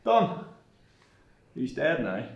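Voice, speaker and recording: Animated, sneering paramilitary thug, played by a man. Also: mid-range voice, very slow, creaky, dull audio, exaggerated voice. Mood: aggressive. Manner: menacing, taunting, blunt. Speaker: actor in a screenplay table read. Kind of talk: dramatic screenplay table read. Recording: echoey room.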